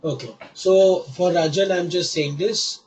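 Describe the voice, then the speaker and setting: A man teaching a live online class while he has a cold, patient and repeating each step slowly. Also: high voice, a little mellow, a little hiss or hum. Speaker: online course instructor.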